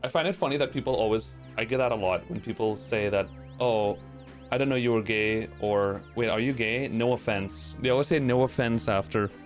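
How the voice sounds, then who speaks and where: A man's voice: on the low side, fairly quick, soft background music. A man in his thirties, raised in Trinidad, who speaks with an adopted American accent. He chats casually with his viewers while playing a game, sarcastic and often profane, with frequent restarts. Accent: American, adopted over years of streaming. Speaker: streamer and speedrunner. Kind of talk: casual chat while gaming and reading chat.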